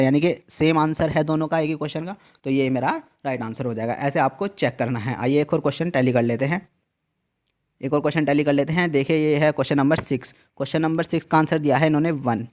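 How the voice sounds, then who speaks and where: Male tutor, higher-than-usual voice, patient repeating explainer doing a screen walkthrough. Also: darkish tone. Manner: repetitive, emphatic instructions with frequent "theek hai" check-ins. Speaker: exam tutor.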